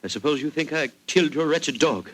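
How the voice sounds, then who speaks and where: A man acting a bitter, tormented colonel who fears madness, gruff and melodramatic in 1940s radio style. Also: fairly high pitch, animated, brisk, fairly bright tone. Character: tormented, bitter English colonel fearing his own madness. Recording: 1940s radio broadcast recording.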